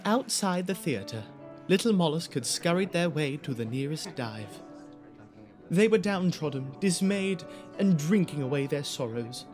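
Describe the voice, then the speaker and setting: Slightly high-voiced male story narrator. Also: animated, measured pace, darkish tone, soft background music. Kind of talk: scripted storytelling narration for audio drama.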